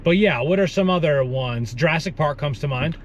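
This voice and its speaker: Higher-voiced man in his thirties, noisy recording. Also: expressive, brisk. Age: 30s. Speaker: podcast co-host. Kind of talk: casual podcast banter.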